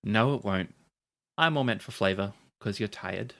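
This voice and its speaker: A game master narrating a tabletop roleplaying game in short, dramatic, unhurried storytelling phrases. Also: low-pitched, fairly bright tone.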